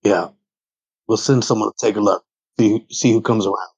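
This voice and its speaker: A man playing a tabletop roleplaying game in character, in short, terse phrases with a gravelly, husky voice. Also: fairly low pitch, fairly even intonation, a little fast, a little mellow, dry recording. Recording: dry, dull lo-fi audio, faint music.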